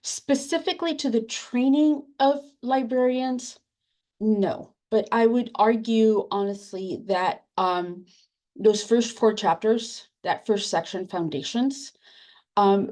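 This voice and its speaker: Woman on a video-call panel, first language Spanish, earnest and halting with fillers, middle pitch. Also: a little mellow, poor audio. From immigrant, first generation. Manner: earnest, hesitant with many fillers. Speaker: book editor on a panel. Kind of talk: panel discussion answer.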